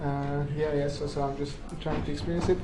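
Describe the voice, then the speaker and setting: A man's voice: a little high, little pitch movement, a little fast. A young man, a university tutor, speaking hesitantly with many fillers and repeated yeahs as he chats and then explains a problem to his class. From Hungary. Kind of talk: casual chat, then tutorial teaching. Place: echoey classroom. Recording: roomy classroom with crowd chatter.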